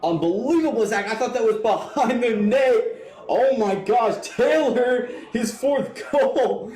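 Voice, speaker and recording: A man's voice: very high-pitched, slow pace, a little mellow, echoey room. A man giving reactions as color commentator on a live soccer broadcast.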